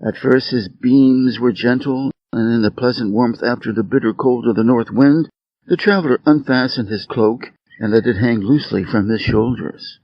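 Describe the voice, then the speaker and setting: Male story reader, mid-pitched, measured scripted fable narration for learners, very dry, slightly dull recording. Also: dry recording.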